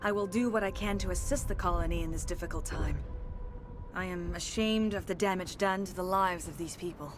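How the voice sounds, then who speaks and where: An actress playing a centuries-old alien disciple in a video game, speaking formally with grave, measured phrasing. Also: female voice, lowish voice, music in the background, natural voice. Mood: humble, sincere. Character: centuries-old female alien disciple, solemn, remorseful and serene. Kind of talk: video game dramatic acting.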